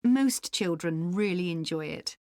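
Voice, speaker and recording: Slow, lively, helpful park guide woman. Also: fairly low pitch, no echo, clean studio sound. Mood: helpful, informative. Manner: clear explaining, helpful, deliberate. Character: helpful, informative female country park staff member. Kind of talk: scripted listening-test dialogue.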